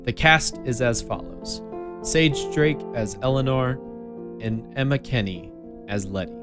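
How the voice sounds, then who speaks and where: A man's voice: mid-range voice, animated, a little slow. A man reading a scripted radio announcement as a credits announcer. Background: guitar music.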